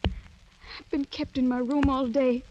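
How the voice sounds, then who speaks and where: A young aristocratic lady in vintage radio drama style. Her voice is high, her speech formal and earnest. She carries herself with demure, wounded dignity. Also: flat delivery, takes it slowly, a little mellow, lo-fi sound, a little hiss or hum. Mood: troubled, hesitant. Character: proud, demure young French noblewoman. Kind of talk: 1940s radio drama acting.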